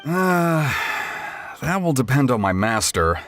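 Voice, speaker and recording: Young apprentice smith, apologetic and eager; middling pitch, hugely varied. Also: male voice, big pitch swings, slow, fairly bright tone, faint music behind. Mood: polite. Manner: polite, apologetic. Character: young swordsmith apprentice, polite and flustered. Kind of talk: video game voice acting.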